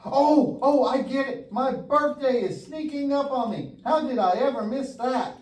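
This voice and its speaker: A man's voice: extremely high pitch, unhurried, darkish tone. A man playing a goofy, lazy, mischievous young boy puppet in a church show, with an exaggerated, wisecracking delivery. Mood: excited, clowning. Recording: echoey room, distant mic.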